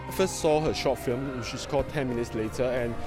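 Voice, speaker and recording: A man's voice: mid-range voice, a little fast, over music. A man giving interview commentary about a filmmaker's work.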